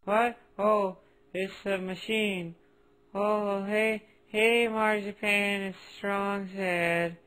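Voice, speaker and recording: Meek male cartoon sad sack: slow, hesitant, gloomy, forever apologetic. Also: high-pitched, level tone, bright, forceful tone, slight background noise, exaggerated voice, poor audio. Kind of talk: cartoon phone-message acting.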